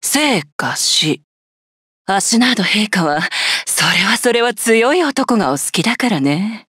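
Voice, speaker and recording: Arrogant, scornful villainess general. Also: female voice, mid-range voice, lively intonation, a little fast, fairly bright tone, breathy edge, no room sound, studio quality. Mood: haughty, scornful. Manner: haughty, scornful, theatrical taunting. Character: cruel, arrogant female lancer general, sadistic and scornful. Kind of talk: Japanese video game character voice lines.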